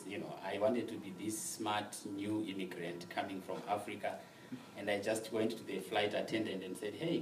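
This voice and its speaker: A young man from Zimbabwe, speaking softly and with measured pacing as a guest telling his story, often laughing. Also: on the low side, a little hiss or hum. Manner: soft-spoken, measured, laughs easily. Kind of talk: conversational storytelling interview. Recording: roomy sound from a distant mic.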